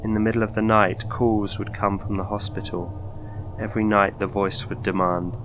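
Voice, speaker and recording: A man with a very low voice narrates a scripted ghost story. His delivery is measured and formal, like a documentary storyteller. There is clearly audible noise behind his voice. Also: little pitch movement, fairly bright tone, rough recording.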